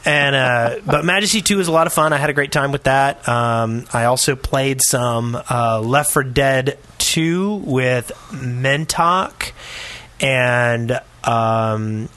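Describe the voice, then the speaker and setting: A middle-aged man co-hosting a gaming podcast, chatting casually and telling stories in an animated way. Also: medium pitch, takes it slowly, dry recording. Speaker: podcast co-host.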